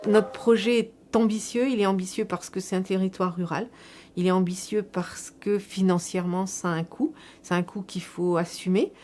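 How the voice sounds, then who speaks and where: A woman with a mid-range voice speaks as a local official in an interview. She explains things in a measured, semi-prepared way, repeating words to stress her points. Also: medium pitch, fairly even intonation, dark tone, faint music behind. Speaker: local elected official presenting a public project.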